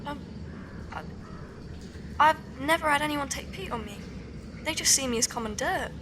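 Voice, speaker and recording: A woman's voice: sits high, animated, normal speed. Woman playing a meek homeless girl, noisy background. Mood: meek, forlorn. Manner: meek, hesitant. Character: timid, downtrodden homeless young beggar woman. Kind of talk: audio drama acting.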